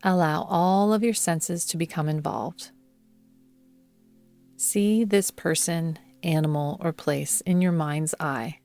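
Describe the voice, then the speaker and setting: A woman guiding a yoga nidra meditation in a soothing, measured manner, leaving long pauses between phrases. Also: low-pitched, a little mellow. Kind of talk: guided meditation narration. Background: background music.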